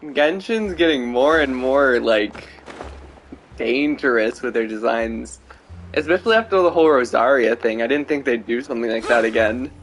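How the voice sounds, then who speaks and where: A man's voice: mid-range voice, moderate pace, a little hiss or hum, low-quality recording. A man giving casual, joking reaction commentary as he plays a video game. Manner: informal, joking. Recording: faint game music behind.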